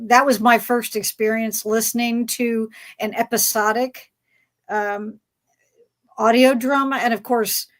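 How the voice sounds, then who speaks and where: A woman speaking casually as a track director and moderator on a discussion panel. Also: medium pitch.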